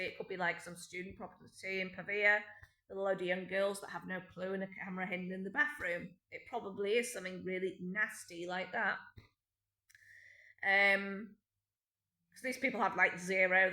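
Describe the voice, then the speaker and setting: Bright, aggrieved British woman in echoey room. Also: lowish voice. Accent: British English. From Britain. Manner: rambling, aggrieved, with frequent restarts and ums. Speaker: vlogger. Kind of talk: unscripted personal monologue. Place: home indoors.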